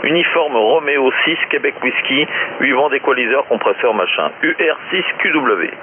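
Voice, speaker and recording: A man's voice: medium pitch, lively intonation, normal speed. A man chatting casually as an amateur radio operator over shortwave, colloquial and full of acknowledgements. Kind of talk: casual radio chat with call-sign spelling. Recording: narrow, noisy shortwave radio transmission.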